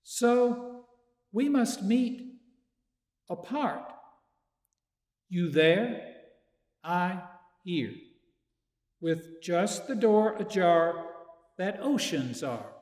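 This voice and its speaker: An older man reading a poem aloud in a church chapel, slow and measured, from a sheet in his hands. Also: sits high, a little mellow. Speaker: poetry reader. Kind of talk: poetry reading. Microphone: far camera, stand mic in front. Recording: echoey church with long reverb.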